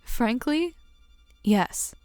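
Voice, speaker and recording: A woman acting a serious, skeptical delegate in a fan dub, speaking in a measured, frank manner. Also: a little high, lively intonation, a little mellow, no room sound, soft background music.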